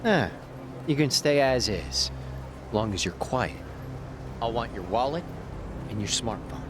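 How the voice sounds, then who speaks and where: A man's voice: medium pitch, lively intonation, a little slow, natural voice. A man playing a slippery Hawaiian taxi driver and small-time crook in a video game, chatty and smug but cowardly, prone to stammering. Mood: smug menace. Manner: chatty, stammering when flustered. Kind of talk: English video game cutscene dub acting. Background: music.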